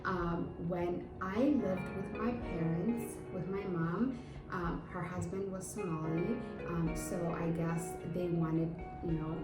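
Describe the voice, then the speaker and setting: A young Ethiopian-born woman whose first language is Amharic talks casually to her viewers, slightly low-voiced and full of ums. The sound is roomy and a little distant from the mic. Clear music plays beneath her. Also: fairly even intonation, slow. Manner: chatty, frequent filler ums, laughs easily. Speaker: vlogger host. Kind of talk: casual chat to camera.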